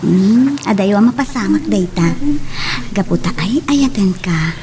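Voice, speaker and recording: A woman's voice: mid-range voice, expressive, a little slow, warm tone, slight background noise, natural voice. A woman with an Ilocano Filipino accent playing a friendly, coy young office worker in a radio love drama. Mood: earnest, gentle.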